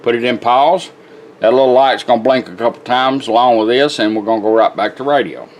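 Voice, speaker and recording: A man's voice: medium pitch, fairly quick, muffled recording, a little hiss or hum. A man giving an informal, step-by-step hands-on product demonstration in a plain-spoken way. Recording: music playing from car radio behind. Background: music from the radio being demonstrated.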